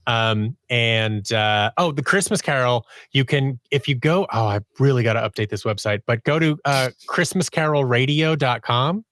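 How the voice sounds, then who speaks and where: A man with a mid-pitched voice talks casually as a guest on a show. He is chatty and rambles a little, breaking off his own sentences. The sound is dry and close. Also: normal speed, hardly any pauses, fairly bright tone, dry recording. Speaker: podcast guest, audio drama producer. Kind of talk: casual interview chat.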